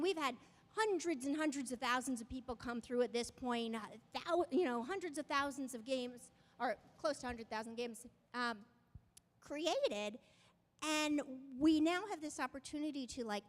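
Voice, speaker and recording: A woman speaking at a game developer meetup in a conversational way, often restarting and tagging her points with "right?". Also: high voice. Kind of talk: casual talk to an audience. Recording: long reverb of a hall.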